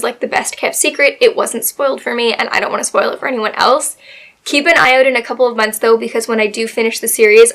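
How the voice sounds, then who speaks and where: A woman with a slightly raspy voice, still getting over a cold, chats about her month's reading. She talks in a bright, somewhat high register, gushing and loose, full of little fillers. It sounds like a relaxed, personal book review. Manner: chatty and gushing, many fillers. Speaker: book video host. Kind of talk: casual book review chat.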